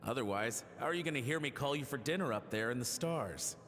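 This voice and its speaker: A man playing an easygoing, indulgent father in a video game, gentle, teasing and placating. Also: mid-range voice, expressive, brisk, long reverb tail, faint music behind, natural voice. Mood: playful. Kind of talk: video game story acting.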